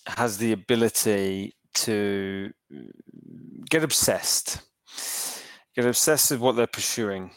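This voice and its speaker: Guy being interviewed, lowish smooth voice, lots of ums, dry close mic. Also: dry recording. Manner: thoughtful, hesitant with many fillers and restarts. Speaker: entrepreneur guest. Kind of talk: interview answers.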